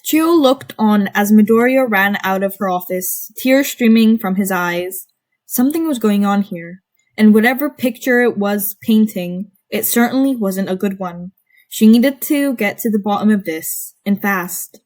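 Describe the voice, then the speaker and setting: A woman's voice: medium pitch, unhurried, darkish tone. A woman with a bubbly, informal manner, reading fan fiction aloud and chatting to her listeners as an amateur narrator. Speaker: podfic narrator and channel host. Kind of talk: amateur audiobook narration and casual chat to listeners.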